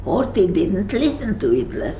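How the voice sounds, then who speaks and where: An older woman with a Hungarian accent, recounting memories to young visitors, halting and searching for words. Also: medium pitch, big pitch swings, mellow, echoey room, slight background noise. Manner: halting, searches for words, many fillers and restarts. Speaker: Holocaust survivor speaking to visitors. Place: room in her home.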